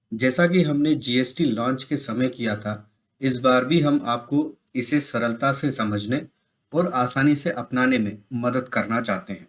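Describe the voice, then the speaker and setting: A man giving a scripted instructional presentation as a session presenter, explaining things in measured, separate phrases. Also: medium pitch. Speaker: presenter of a software training session.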